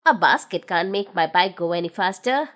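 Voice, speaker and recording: A woman putting on a fussy old gnome who speaks low, firm and emphatic. He sounds set in his ways, and his voice still rises and falls a lot in this storybook reading. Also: expressive, moderate pace, fairly bright tone.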